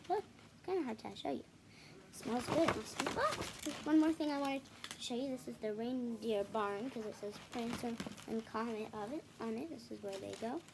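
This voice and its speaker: A woman hosting a children's read-aloud video, reading a picture book with casual, halting, self-correcting asides to viewers. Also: fairly high pitch, creaky, heavy background noise. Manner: halting, self-correcting, apologetic while showing pages.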